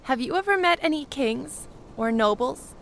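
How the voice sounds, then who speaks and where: A woman's voice: high-pitched, animated, normal speed, a bit bright, slight background noise. A woman playing a young airship pilot in an audio drama, practical and curious, talking in a frank, conversational way.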